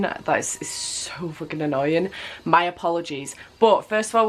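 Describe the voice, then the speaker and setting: A young woman with a cold and a stuffy nose, chatting to camera as a book vlogger, repeating words for emphasis. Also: fairly low pitch. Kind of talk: casual chat to camera. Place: home room with building work nearby.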